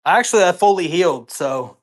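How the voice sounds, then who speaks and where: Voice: mid-range voice, animated, fairly quick. A tabletop game player chatting casually in a hesitant, matter-of-fact way.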